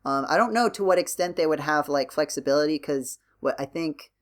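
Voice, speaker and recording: A man's voice: a little high, a little fast. A man hosting a casual podcast discussion, explaining his thoughts in a rambling, hesitant way. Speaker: podcast host.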